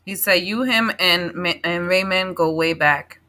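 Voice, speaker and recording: A woman's voice: on the low side, unhurried, sharp tone. A woman in her forties hosting a casual one-on-one interview in a blunt, assertive way. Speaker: interview show host.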